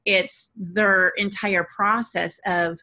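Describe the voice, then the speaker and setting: A woman's voice: mid-range voice, fairly even intonation, takes it slowly, bright, forceful tone. A woman on a podcast panel, talking casually and expressively as she explains. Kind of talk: casual discussion.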